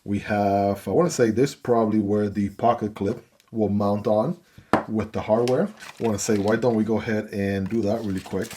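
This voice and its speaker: A man's voice: fairly low pitch, steady tone, normal speed, mellow, a little hiss or hum. A man casually talking through an unboxing and hands-on review of a gadget, easygoing and chatty with frequent fillers. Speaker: gear reviewer.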